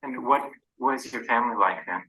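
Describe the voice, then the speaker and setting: A man's voice: medium pitch, normal speed, bright, forceful tone, poor audio. A man conducting an archival oral history interview, asking plain, businesslike questions. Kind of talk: formal interview opening.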